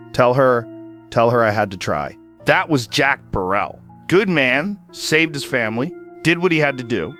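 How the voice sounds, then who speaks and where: A man's voice: mid-range voice, a bit bright, close to an everyday voice. A man playing a 68-year-old small-town radio host in an audio drama, solemn and fatalistic, delivering a plain-spoken emergency broadcast monologue. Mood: sorrowful, tender. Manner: solemn, resolute, plain-spoken short declarations. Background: music under the voice.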